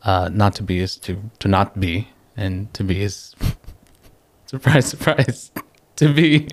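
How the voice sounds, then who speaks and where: A young man from the Philippines, a guest talking in a relaxed, reflective way, pausing often and laughing easily. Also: on the low side, no room sound. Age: young adult. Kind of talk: casual interview chat.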